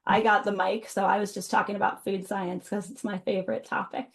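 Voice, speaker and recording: A woman's voice: medium pitch, flat delivery, a little fast, gravelly. A woman moderating a video-call webinar, chatty and upbeat, with frequent ums and restarts. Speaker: webinar moderator and food science advisor. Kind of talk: casual webinar hosting.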